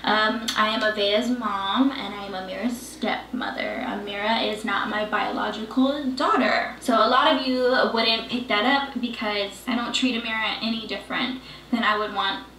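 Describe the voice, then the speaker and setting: A woman's voice: medium pitch, fairly even intonation, normal speed, bright, forceful tone, slight background noise. A woman from Hawaii, a guest on a video call, explaining herself earnestly with many fillers. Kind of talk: casual chat on video call. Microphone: video call from home. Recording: roomy distant video-call audio.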